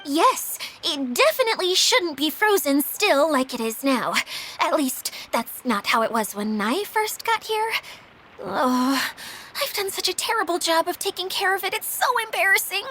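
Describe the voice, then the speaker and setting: High-pitched, timid mascot caretaker. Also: female voice, big pitch swings, moderate pace, bright, forceful tone, slight background noise, exaggerated voice. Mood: embarrassed, apologetic. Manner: hesitant, apologetic, courteous. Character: timid, polite, self-doubting female mascot caretaker. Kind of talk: video game voice acting.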